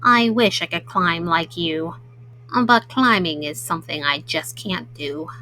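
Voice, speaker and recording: A woman's voice: fairly low pitch, measured pace, pushed and bright, slight background noise, pitched below the performer's own voice, rough recording. A woman voicing a friendly, earnest male platypus, a modest newcomer in a children's story. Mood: wistful.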